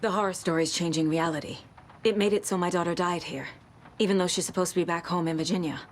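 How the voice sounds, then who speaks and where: Serious, resolute female investigator for a game cutscene, acted by a woman, fairly low pitch, controlled and earnest. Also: steady tone, quick pace, natural voice. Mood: earnest, worried. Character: determined female federal agent and mother, serious, guarded. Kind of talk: video game cutscene acting. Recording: light ambient background noise.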